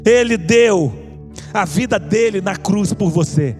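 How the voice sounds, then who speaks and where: Male evangelical preacher, Brazilian accent. Extremely high register, fervent altar-call delivery with rhetorical repetition, musical backing. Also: takes it slowly, darkish tone. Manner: impassioned, exhorting, rhetorical repetition. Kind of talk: evangelical sermon with altar appeal. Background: music under the preaching.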